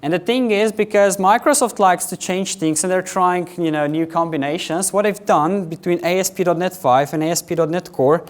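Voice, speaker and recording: A young man from Slovenia with a Slovenian accent, giving a quick, chatty technical conference talk to a live audience. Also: a little high. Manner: quick, chatty presenter with filler words, addressing a live audience. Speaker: conference speaker.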